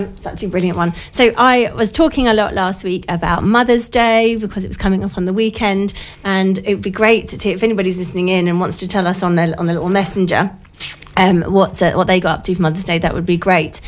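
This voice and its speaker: A middle-aged British woman with a croaky, slightly blocked-nose voice from a cold, chatting informally as a radio host in a studio. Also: mid-range voice. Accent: British English. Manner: informal, self-deprecating, rambling anecdotes. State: has a cold. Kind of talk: casual chatty radio monologue.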